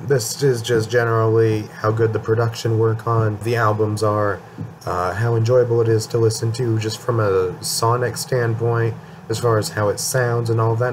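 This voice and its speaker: A man's voice: fairly low pitch, slight background noise. A young man talking casually about his favorite albums, his voice a bit worn from a cold, rambling with fillers and restarts. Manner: rambling, many ums and restarts. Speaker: music channel host. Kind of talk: casual album ranking talk.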